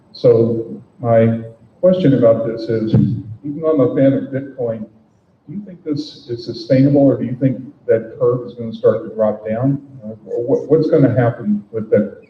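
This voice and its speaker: An older man from the audience asks questions, pausing often and laying out his background before he gets to his point. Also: medium pitch. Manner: hesitant, explains his background at length. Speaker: audience member asking questions. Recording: roomy, distant, dull lo-fi sound with faint noise.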